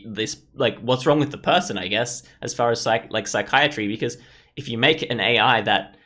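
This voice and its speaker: A man's voice: mid-range voice, moderate pace, a bit bright. A man playing through a story game, casually commenting and reading its text aloud, often thinking aloud. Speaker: gaming YouTuber.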